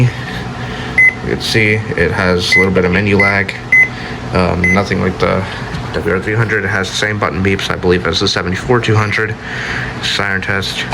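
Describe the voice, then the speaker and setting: A man giving a casual, unscripted show-and-tell as a video host, speaking in a plain, offhand, halting way. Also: deep voice, creaky. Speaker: video host showing a collection. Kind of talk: casual unscripted product showcase. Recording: loud steady ambient hum and hiss.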